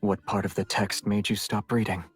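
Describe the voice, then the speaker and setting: An actor playing a quiet, intense tyrant king who is a devoted lover in a video game, soft-spoken and restrained. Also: lowish voice, flat delivery, normal speed, husky, soft background music. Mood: quietly intense. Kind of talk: scripted video game voice acting.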